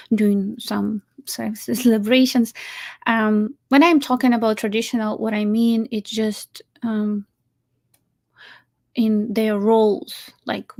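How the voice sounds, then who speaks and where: A woman's voice: a little high, a little mellow. A woman from Ukraine with a Ukrainian accent, a matchmaker talking casually with many fillers, restarts and laughs. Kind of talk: casual chat.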